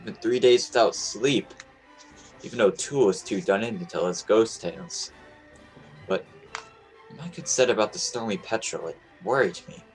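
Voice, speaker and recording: A man's voice: lowish voice, normal speed, pauses often, soft background music, close to an everyday voice, rough recording. Man playing an earnest young sea captain. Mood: solemn narration. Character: earnest, confident twenty-year-old New England sea captain. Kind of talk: old-time radio play acting, narrating his log.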